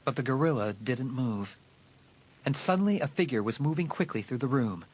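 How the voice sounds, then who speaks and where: A man's voice: medium pitch, expressive, moderate pace, a little mellow, poor audio. A man reading a dramatised horror audiobook as a scripted narrator.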